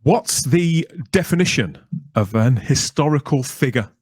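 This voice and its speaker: A man's voice: medium pitch, expressive, unhurried, slightly rough, no echo. A man hosting a podcast, introducing and interviewing in a chatty, wordy manner with theatrical presenter phrasing. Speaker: podcast host.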